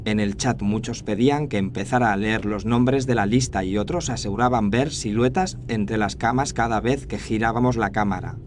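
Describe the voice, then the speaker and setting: A man's voice: on the low side, moderate pace, hardly any pauses. A man reading a scripted first-person horror story as its narrator. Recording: faint noise and ambience behind narration.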